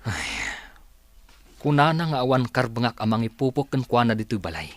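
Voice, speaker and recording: A man with an Ilocano accent playing a stern, grudging, domineering husband in a radio comedy drama, gruff and dismissive. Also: mid-range voice, very animated, moderate pace, dry recording, close to an everyday voice.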